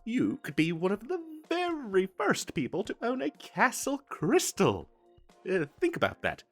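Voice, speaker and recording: Man acting an animated, boastful wizard salesman. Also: high voice, full character voice. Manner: salesman-like, flustered stammering, dramatic emphasis. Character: eager, boastful male wizard salesman hawking magical wares. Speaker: street vendor character in an audio roleplay. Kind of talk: theatrical comedic fantasy audio roleplay.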